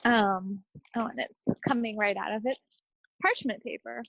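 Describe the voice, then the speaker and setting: A woman's voice: a little high, measured pace, gravelly, a bit bright, dull audio, slight background noise. A woman co-hosting a podcast in casual, chatty conversation. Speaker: podcast co-host. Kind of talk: casual chat about crafts and home life.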